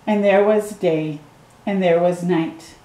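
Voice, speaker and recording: Slow, mellow-voiced woman reading a storybook. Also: lowish voice, reverberant, rough recording. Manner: slow, gentle reading with excited asides to the viewer. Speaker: storytime reader. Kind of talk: reading a picture book aloud to children. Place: living room at home.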